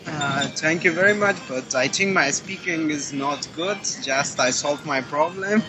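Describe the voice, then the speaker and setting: Man calling in, non-native english speaker, hesitant, halting, music behind. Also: fairly high pitch, low-quality recording. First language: not English. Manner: hesitant, halting with many fillers. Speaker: caller. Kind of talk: phone-in chat.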